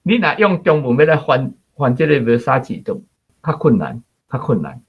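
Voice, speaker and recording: A husky man with a Taiwanese accent talks in a casual, chatty way. His mid-pitched voice is captured with a slightly dull, lo-fi sound as he explains and plays with words. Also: very animated, normal speed.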